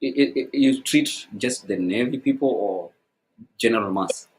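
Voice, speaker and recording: Very low-voiced woman host. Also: normal speed, darkish tone, low-quality recording. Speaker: interviewer, host. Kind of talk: casual interview chat.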